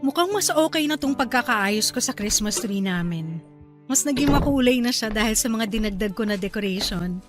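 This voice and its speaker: High-voiced, cheerful Filipino young wife played by an actress. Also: female voice, expressive, normal speed, music in the background, close to an everyday voice. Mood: proud, excited. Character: cheerful, eager young wife and new mother. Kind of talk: Filipino radio-style drama acting.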